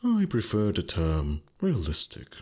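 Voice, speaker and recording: A man voicing an ancient, proud dragon, gruff but secretly tender, with haughty, teasing, formal speech in a roleplay ASMR performance. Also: lowish voice, huge pitch swings, measured pace, a little mellow, exaggerated voice. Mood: cynical, teasing.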